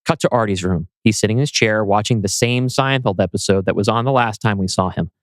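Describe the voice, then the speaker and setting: A man reads screenplay directions as a narrator. His voice sits slightly low, and the recording is dry and close, suited to scripted audio storytelling. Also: fast, dry recording. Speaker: narrator reading stage directions.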